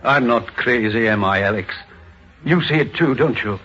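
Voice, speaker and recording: A man acting an excited, driven doctor and researcher in a dramatic 1950s radio play style. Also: mid-range voice, moderate pace, slightly rough. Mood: anxious. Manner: dramatic, theatrical. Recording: muffled vintage radio recording.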